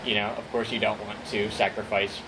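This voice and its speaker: A man's voice: fairly low pitch, level tone, a little fast, background noise. A young man speaking at a conference, answering an audience question hesitantly, with many fillers and restarts.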